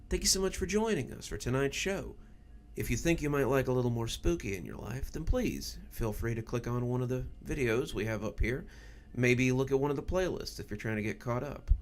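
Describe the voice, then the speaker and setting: A man with a gravelly voice, hosting and narrating scripted horror stories. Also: medium pitch. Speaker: horror story narrator and channel host.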